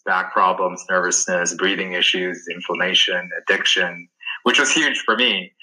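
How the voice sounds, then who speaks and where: A man's voice: lowish voice, bright, forceful tone, low-quality recording. A man from Sri Lanka answering interview questions as a wellness guest, talking earnestly and stressing key words.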